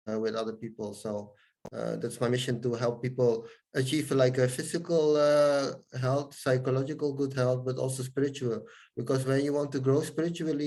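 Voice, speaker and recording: Dutch-accented male guest, level monotone, earnest and hesitant, often restarting his sentences. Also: medium pitch. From Suriname, raised in the Netherlands. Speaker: guest, scientist and yoga teacher. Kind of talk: interview answers.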